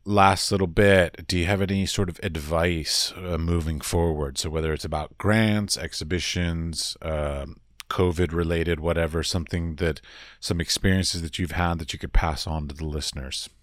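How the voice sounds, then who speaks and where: Deep-voiced podcast host in his forties. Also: moderate pace, no echo, studio quality. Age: 40s. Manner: relaxed, chatty, laughs easily. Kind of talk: casual interview chat.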